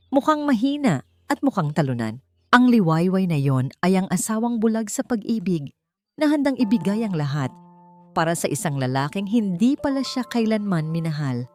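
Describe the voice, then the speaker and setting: A woman's voice: low-pitched, darkish tone. A woman with a Filipino accent reading a dramatic scripted story as an audiobook narrator. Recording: music bed under the voice. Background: background music.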